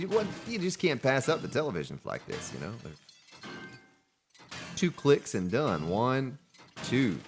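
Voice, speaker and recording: Lively low-voiced gaming commentator. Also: male voice. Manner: chatty, jokes at game characters. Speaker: let's play host. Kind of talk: casual gameplay commentary.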